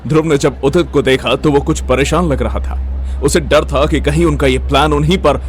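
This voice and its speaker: A man's voice: on the high side, fairly even intonation, moderate pace. Male story narrator, faint background noise.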